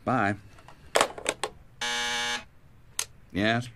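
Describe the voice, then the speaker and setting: An actor playing a brisk, authoritative English hospital doctor with a crisp, formal, dryly sardonic manner. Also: male voice, on the low side, fairly even intonation, very noisy. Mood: exasperated. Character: brisk, authoritative male British hospital doctor, faintly exasperated. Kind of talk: dramatic film-style acting. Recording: music behind dialogue.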